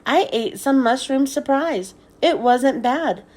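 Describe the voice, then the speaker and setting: Woman voicing an earnest, squeaky young schoolboy. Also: lively intonation, takes it slowly, darkish tone, full character voice. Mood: pleased, puzzled.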